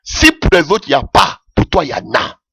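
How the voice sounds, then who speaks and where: A man's voice: huge pitch swings, a little fast, vocal fry, bright, forceful tone, breathy edge, no room sound, studio quality. A man preaching a sermon with passion, calling out alleluia often as his voice rises. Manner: impassioned, rising pitch with frequent alleluias.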